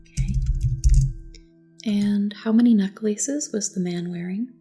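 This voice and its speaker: A soft, breathy woman gently giving calm, reassuring instructions in an ASMR roleplay, often dropping to a whisper. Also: medium pitch, slow, soft background music. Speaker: ASMR roleplayer as a test examiner. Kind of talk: soft-spoken ASMR personal attention roleplay.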